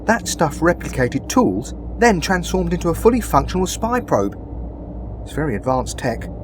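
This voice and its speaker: Noisy backdrop, an actor as curious spaceship crew member, lively intonation, medium pitch, sci-fi audio play. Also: moderate pace, natural voice. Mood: intrigued, concerned. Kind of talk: audio drama acting. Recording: clear noise behind the voice.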